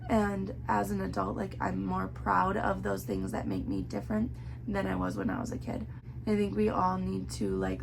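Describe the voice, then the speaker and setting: A young woman with a hoarse, worn-out voice, chatting casually through a book review with lots of filler words. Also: mid-range voice, background noise. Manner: chatty, many "like" fillers, earnest.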